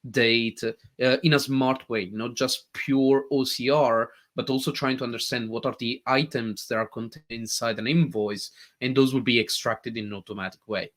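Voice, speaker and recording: Man, italian accent, native italian speaker, live software demo, eager and animated, mid-pitched voice. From Italy. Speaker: tech presenter.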